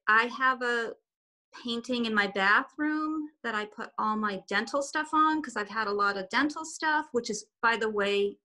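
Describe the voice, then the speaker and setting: Bright chatty woman, german first language, video call, lots of restarts. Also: on the high side. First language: German and Swiss German. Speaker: guest artist. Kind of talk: casual video call chat.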